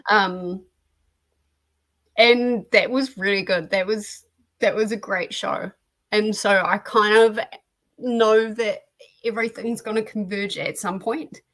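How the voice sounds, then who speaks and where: A woman's voice: medium pitch, steady tone, slow, pauses often, fairly bright tone. A woman hosting a reading livestream, chatting casually and informally about books. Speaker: host of a reading sprint livestream.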